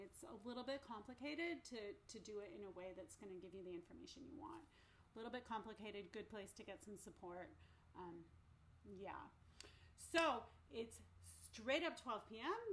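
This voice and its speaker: A woman hosting an online recovery meeting as a nutrition therapist, chatty and encouraging, with lots of "like" and "um". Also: mid-range voice. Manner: chatty, says "like" and "um" often, upbeat and encouraging. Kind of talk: casual informative talk. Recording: faint background noise.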